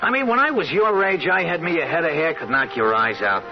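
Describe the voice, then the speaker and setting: An avuncular middle-aged bartender, good-humored and chatty. His slangy speech moves up and down in a lively way, in radio drama style. Soft music sits behind a muffled broadcast sound. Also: on the high side, brisk. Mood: wry, wistful. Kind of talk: 1970s radio drama acting.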